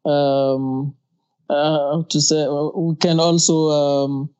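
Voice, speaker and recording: An interview guest who speaks hesitantly, pausing often to search for words. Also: mid-range voice, level tone, a little mellow, clear, resonant tone, highs cut off. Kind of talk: casual interview answers.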